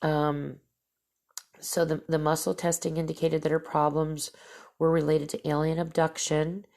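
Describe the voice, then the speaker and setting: A woman's voice: deep voice, level tone, unhurried, vocal fry, darkish tone. A woman hosting a podcast, casually telling encounter stories in a stuffy, nasal voice from her allergies. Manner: rambling, with fillers and restarts. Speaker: podcast host.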